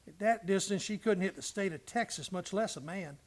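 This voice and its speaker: An actor playing a loyal, plainspoken cowboy and devoted husband in a western radio drama, with earnest, folksy phrasing. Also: male voice, sits high, fairly quick, natural voice. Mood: wry.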